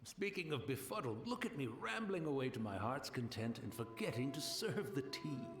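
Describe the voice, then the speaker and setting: An actor playing a gentle, courteous blind old man who keeps a tea house, rambling in an old-fashioned way in a game cutscene. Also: medium pitch, big pitch swings, normal speed, reverberant, music in the background. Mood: wistful. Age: older. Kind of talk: video game cutscene voice acting.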